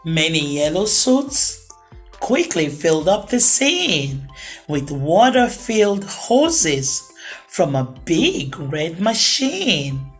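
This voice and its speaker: A woman reading aloud to children as a storytime host, in an expressive storybook narration style. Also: on the low side, takes it slowly, soft background music.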